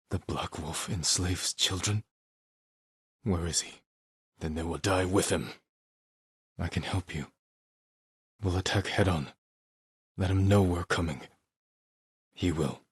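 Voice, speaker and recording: A man playing a stoic, honorable samurai warrior in a video game, husky and measured, with terse, solemn delivery. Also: low voice, dry recording, clean studio sound, full character voice. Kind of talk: video game dramatic voice acting.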